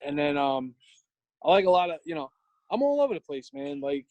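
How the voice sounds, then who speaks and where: A man's voice: high-pitched, sing-song, a little fast, creaky, no room sound, phone-quality sound. Rambling New York man in his forties. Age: 40s. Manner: rambling, lots of fillers, swears freely. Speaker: guest, tattoo shop owner. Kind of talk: casual podcast chat.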